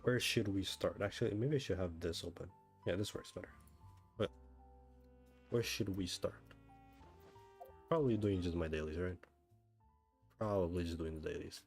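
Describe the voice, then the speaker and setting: A man chatting casually as he livestreams a game, thinking out loud and often asking himself questions. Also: low voice, normal speed, long pauses, faint music behind. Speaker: livestreamer, gamer. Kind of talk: casual livestream chat while playing a game.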